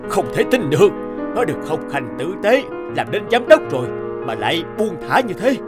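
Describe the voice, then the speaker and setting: A man's voice: on the high side, sing-song, normal speed, over music. A man playing an indignant, protective grandfather in a cartoon drama.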